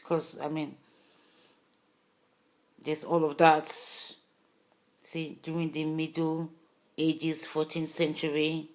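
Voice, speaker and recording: Woman vlogger, non-native English, lowish voice, insistent and rambling, echoey room. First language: not English. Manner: emphatic and insistent, loose rambling asides. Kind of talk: casual video talk to viewers.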